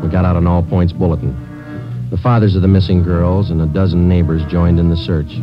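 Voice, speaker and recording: An American man playing a stoic, businesslike police sergeant, narrating in a terse, deadpan 1950s radio drama style. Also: low-pitched, fairly quick, over music, lightly acted. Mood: flat, grim. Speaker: lead actor and narrator. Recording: muffled old radio broadcast, musical score.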